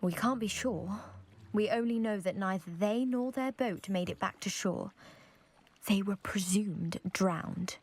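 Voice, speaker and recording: A woman's voice: medium pitch, a little slow, a little mellow, breathy edge. A woman playing a proud, eager young lady from a scholarly family in a video game, with a posh British accent and precise, self-assured delivery. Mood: earnest. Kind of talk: video game character acting.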